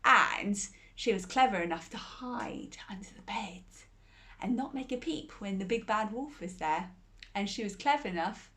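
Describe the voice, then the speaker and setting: A woman reading a bedtime fairy tale aloud and chatting gently with young listeners between lines. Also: a little high. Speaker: storyteller.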